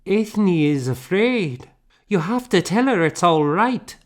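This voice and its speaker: A little girl from an audiobook novel, acted out by a male reader. The voice is lifted high and sounds excitable and childlike. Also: slow pace. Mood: anxious. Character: small excitable girl begging to be included.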